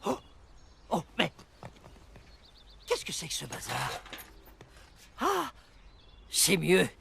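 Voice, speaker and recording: A man voicing a muddled, excitable cartoon man who mutters and exclaims to himself. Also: high-pitched, extremely slow, vocal fry, noisy background, exaggerated voice. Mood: muddled, startled. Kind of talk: dubbed cartoon acting.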